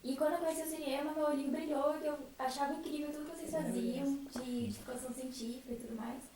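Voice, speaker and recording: A woman's voice: high-pitched, fairly even intonation, fast. A shy, nervous young Brazilian woman sharing her own experience casually in a group. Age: young adult. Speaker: student member of a theatre group. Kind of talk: casual personal testimony in a group. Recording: very echoey room, distant mic, faint noise.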